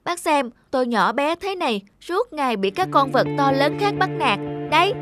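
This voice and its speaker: A woman's voice: high voice, very animated, moderate pace. A woman voicing a small, boastful, envious rabbit in a children's cartoon. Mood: pleading. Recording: faint music behind the voice.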